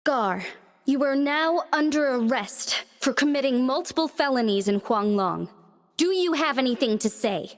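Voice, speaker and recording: A calm, dignified young magistrate. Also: female voice, high voice, in a large hall. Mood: gentle. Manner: composed, formal and measured. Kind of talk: video game cutscene voice acting.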